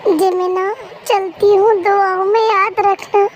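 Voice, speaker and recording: A woman's voice: very high-pitched, level tone, slow pace, a little hiss or hum. A woman voicing an upset young wife who complains in a whiny, melodramatic way, in an amateur fan dub.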